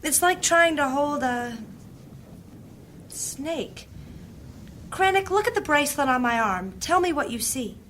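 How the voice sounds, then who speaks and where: A woman playing a troubled, gentle amnesiac searching her memory in a fantasy audio drama. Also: high voice, lively intonation, measured pace, pauses often, slight background noise.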